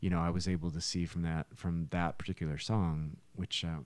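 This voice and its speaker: A man's voice: sits low, little pitch movement, brisk, darkish tone, dry recording. A man telling stories in a casual, conversational way as a musician guest on a podcast. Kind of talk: casual storytelling chat.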